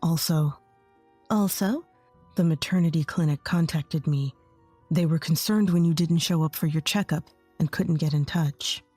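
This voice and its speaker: Woman playing a young husband, remorseful, soft-spoken, pitched low, dry. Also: steady tone, normal speed, breathy edge, no echo, soft background music.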